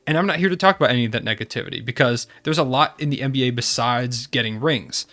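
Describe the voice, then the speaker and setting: Young male sports vlogger, quick and eager, nasal from a cold. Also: medium pitch, pushed and bright. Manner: enthusiastic, fan-like. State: congested from a cold. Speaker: basketball channel host. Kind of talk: casual video recap.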